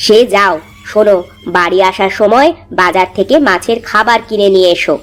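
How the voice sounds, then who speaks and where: A woman's voice: medium pitch, steady tone, a little fast, close to an everyday voice. A woman playing a confident, competitive village wife in a cartoon, speaking in an assured, coaxing way. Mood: reassuring. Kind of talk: Bangla cartoon voice acting.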